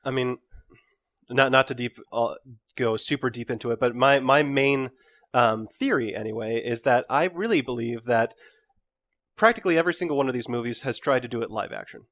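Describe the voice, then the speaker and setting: A man with a medium-pitched voice chats as a podcast co-host. His delivery is informal and somewhat halting. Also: fairly bright tone. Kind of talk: casual group podcast chat.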